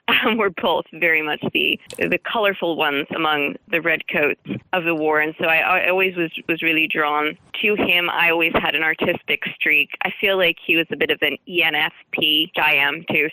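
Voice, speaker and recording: Woman author in an interview, really bright sharp voice, earnest n emphatic, dry sound. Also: low voice, no room sound, poor audio. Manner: earnest, articulate, emphatic, frequent light chuckles. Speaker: guest author. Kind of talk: interview about writing historical fiction.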